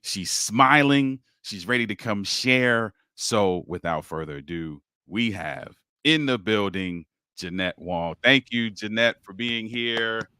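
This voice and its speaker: A man's voice: on the low side, slow pace, few pauses, pushed and bright, smooth, no room sound, studio quality. A man hosting a livestreamed podcast, upbeat and encouraging, talking with a preacher's lift as he greets commenters and his guest. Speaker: podcast host.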